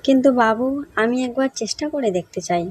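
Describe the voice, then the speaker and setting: Woman playing a high-voiced, worried village mother. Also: quick pace, breathy edge. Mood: pleading. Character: poor worried village mother, humble and loving. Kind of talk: Bengali cartoon story voice acting.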